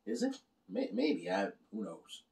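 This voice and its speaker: A man's voice: fairly low pitch, a little slow, creaky. A middle-aged man rambling and joking through a casual beer review, recorded indoors by a camera across the table. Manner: animated, joking, gestures a lot while talking. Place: small room lined with beer shelves, indoors. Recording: roomy, slightly distant sound.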